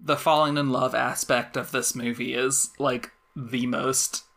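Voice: mid-range voice, fairly even intonation, measured pace, vocal fry, a bit bright. A podcast co-host chatting casually and analytically about a film, in a conversational, thinking-aloud way.

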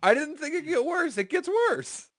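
A man's voice: very high voice, sing-song, moderate pace, fairly bright tone, no room sound, studio quality. A man hosting a comedy podcast, reading a story aloud and breaking off into banter with friends.

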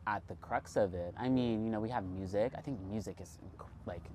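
A man's voice: fairly low pitch, a little fast, darkish tone, slight background noise. A man in his twenties, a guest artist and filmmaker, talking in a loose, chatty way with many filler words. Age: 20s. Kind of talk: casual conversation.

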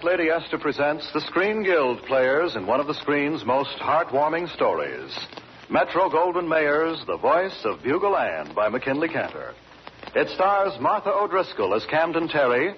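A man's voice: on the high side, a little hiss or hum. A man with an American accent announcing a scripted programme in a formal, polished 1940s radio style. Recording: muffled vintage radio with faint music.

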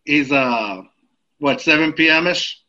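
A man's voice: on the high side, measured pace, pushed and bright, dull audio. A man hosting a casual podcast who talks in a chatty way, laughing between phrases. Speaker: podcast host.

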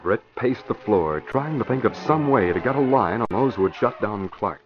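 A man's voice: on the low side, animated, moderate pace. A man narrating a crime serial as announcer, in a scripted, dramatic old-time radio style. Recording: muffled vintage radio recording with music.

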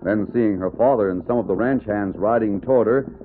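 A man's voice: fairly low pitch, fairly quick, background noise, lightly acted. A man with an American accent performing as a dramatic narrator of an old-time western radio serial. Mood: solemn storytelling. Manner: dramatic, theatrical. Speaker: announcer and narrator. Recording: tinny old radio recording with music.

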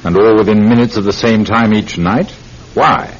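An actor playing a gruff, blustering, incredulous senior police inspector in a classic radio drama. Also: male voice, moderate pace, lightly acted. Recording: dull, rumbling, noisy old radio recording.

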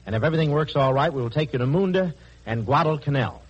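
A man's voice: fairly high pitch, expressive, fast talker. A man hosting a live 1940s radio broadcast from the studio, on an old recording. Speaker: radio show host in the studio. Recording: dull, faintly noisy 1940s radio recording.

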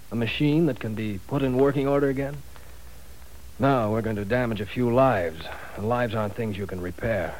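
A man's voice: mid-range voice, a little mellow, close to an everyday voice, poor audio. A man playing a conscience-stricken professor in an old radio drama, bitter and tense. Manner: bitter, tense, rising to outbursts. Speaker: teacher. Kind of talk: 1940s radio acting. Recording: faint hiss of an old radio recording.

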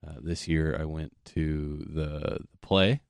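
A man's voice: rumbling low, steady tone, takes it slowly, smooth, no echo. A man co-hosting a casual chat show, talking in a loose, slangy way with plenty of swearing. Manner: profane, slangy. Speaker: podcast co-host.

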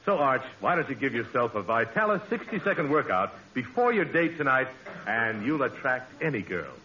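An actor playing a smooth, flattering pal who delivers a crisp, persuasive sponsor pitch within a 1940s radio comedy. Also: male voice, moderate pace. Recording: muffled, hissy old radio broadcast.

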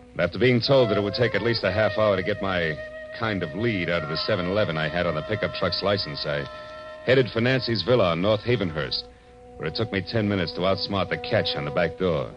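Low-voiced wry 1940s radio private eye, acted by a man. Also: fast talker, natural voice. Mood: wry narrating. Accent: American. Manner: dry, clipped, wisecracking. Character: world-weary, wry hard-boiled private detective, adult man. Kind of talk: 1940s radio drama acting, noir first-person narration. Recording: muffled old radio recording with music.

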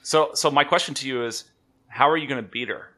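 A man interviewing a guest, firing off short questions at a fast clip. His voice is bright and forward, and it sits a bit off the mic. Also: medium pitch. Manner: quick, brief questions.

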